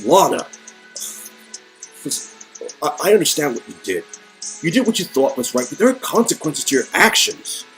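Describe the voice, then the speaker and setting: A man playing a flustered, earnest character with a halting, emphatic delivery in a story-game reading. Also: a little high, sing-song, a bit bright, poor audio. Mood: hurt, earnest. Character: flustered, incredulous man confronting a friend, earnest. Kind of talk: amateur dialogue reading of an interactive story game. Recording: music under the voice.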